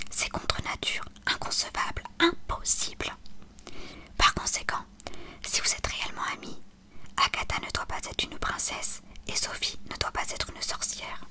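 Reader whispering a weary old headmaster. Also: pushed and bright. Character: weary old male headmaster, cryptic and calm. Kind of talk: ASMR whispered storytelling.

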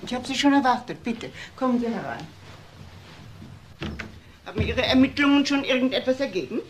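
A woman's voice: on the high side, lively intonation, normal speed, dull audio, a little hiss or hum, lightly acted. A woman playing a composed, courteous grandmother, a well-to-do lady in a German crime radio play. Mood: gracious. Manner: polite, composed, talkative.

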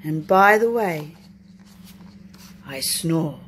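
A woman's voice: deep voice, animated, drawn-out words, voice pushed down, full character voice. A woman voicing a big, weary, grumpy male bear from a children's storybook, in a sleepy drawl. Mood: tired, grumpy. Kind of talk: children's storybook read aloud. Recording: noisy home recording.

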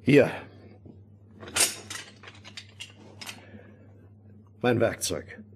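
An actor playing a stern, stubborn middle-aged miller, gruff and curt, in a fairy-tale audio drama. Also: male voice, on the low side, lively intonation, measured pace, darkish tone, a little hiss or hum. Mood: reluctant.

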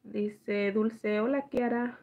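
A woman, hoarse from allergies, chatting slowly with viewers and reading their comments aloud on a live stream from home at night. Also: on the high side, fairly even intonation, lo-fi sound. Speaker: livestream host reading chat. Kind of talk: casual live chat with viewers.

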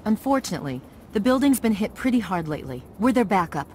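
An actress playing a no-nonsense young woman squad commander in a video game, brusque and authoritative with military briskness. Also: medium pitch, big pitch swings, a little mellow, a little hiss or hum, lightly acted. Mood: brisk, firm. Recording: game audio with music underneath.

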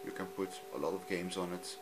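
A man from Belgium, a native Dutch speaker with a Dutch accent, talking off camera in a halting, simple way while he films his TV screen at home. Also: deep voice, fairly even intonation, loud music behind the voice. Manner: halting, frequent ums, simple non-native phrasing. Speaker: hobbyist youtuber. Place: room at home, filming a TV screen.

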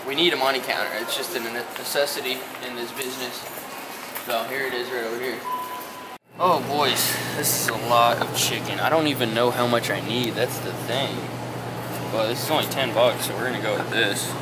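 A young American man talks to his vlog audience in loose, slangy, buddy-to-buddy fashion. His voice sits at an ordinary male pitch. He is picked up by a nearby camera, with clear ambient noise around him. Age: 20s. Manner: bro-ish slangy address to viewers. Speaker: vlogger. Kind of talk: casual vlog chat to camera. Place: car, large warehouse stores, parking lot. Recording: noisy car and big-box store ambience.

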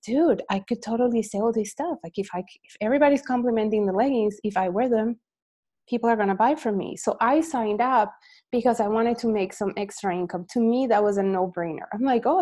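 Woman in her forties, team leader on a video call: mellow, warm-toned voice, candid and rambling, mid-range pitch. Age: 40s. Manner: energetic, candid, rambling personal story. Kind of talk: motivational talk on a video call.

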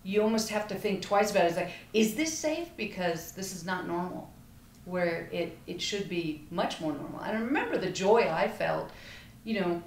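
A woman's voice: mid-range voice, animated, normal speed. A middle-aged woman with an American accent, chatting casually as an interview co-host. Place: small plain room at a table, indoors. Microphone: desk microphone on stand. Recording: echoey room, faint background noise.

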